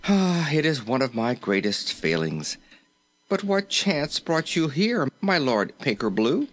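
A man's voice: a little high, very animated, unhurried, pitched above the performer's own voice. A man voicing a comical, cowardly, self-pitying but tender-hearted king in a storybook, moaning melodramatically. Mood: rueful. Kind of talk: storybook character voice.

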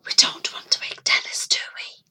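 A woman's voice: very animated, unhurried. A woman reading aloud and voicing a kindly foster auntie who whispers, fusses and exclaims. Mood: whispering. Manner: hushed at times, then shrill exclaiming.

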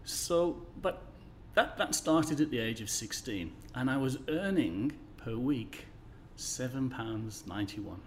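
A man in his sixties from the English Midlands with a neutralised accent, a guest telling stories in a friendly, measured way in an office interview. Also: medium pitch, lively intonation, slight background noise. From England, Midlands. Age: 60s. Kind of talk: conversational interview storytelling.

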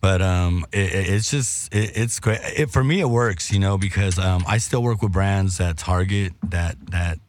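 A middle-aged man and former radio host, chatting as a guest in a laid-back, rambling way, his voice a little raspy from smoking. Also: deep voice, moderate pace, few pauses, slight background noise. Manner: laid-back, rambling with many restarts and slang. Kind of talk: casual chat.

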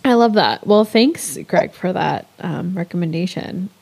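Slow, unhurried woman co-host. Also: on the low side, animated, gravelly, darkish tone, slightly rough. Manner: unhurried, chimes in with short replies. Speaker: podcast co-host. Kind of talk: casual chat.

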